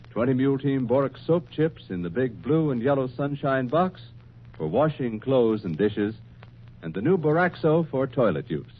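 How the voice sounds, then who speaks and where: Animated American radio announcer, thin vintage sound. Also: male voice, mid-range voice, breathy edge. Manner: measured, formal sales delivery. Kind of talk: old-time radio commercial announcing. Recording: thin, phone-like old radio recording.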